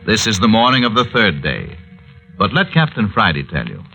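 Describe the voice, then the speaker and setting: Muffled old radio recording, male announcer, American accent, punchy bright tone, theatrical suspense narration. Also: on the low side, huge pitch swings, normal speed, gravelly, heavily acted. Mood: ominous. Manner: theatrical, ominous. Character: dramatic male announcer-narrator of eerie adventure serial. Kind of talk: 1940s radio scripted narration. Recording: dull vintage radio, music behind.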